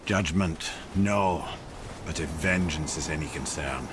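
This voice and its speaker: An actor playing a wry, learned older storyteller in a video game, with a Scottish accent and eloquent, theatrical, old-fashioned speech. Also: male voice, extremely low pitch, a little slow, background noise. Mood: wry, cautioning. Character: wry, learned talking head, older male storyteller advisor.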